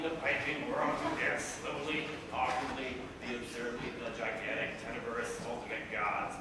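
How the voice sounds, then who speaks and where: Guy doing theatrical mock serious story reading on stage, really echoey, noisy recording. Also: medium pitch. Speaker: storyteller reading to a live audience. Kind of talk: comic literary reading on stage. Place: echoey venue before a live audience. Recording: very echoey room, distant mic, noisy.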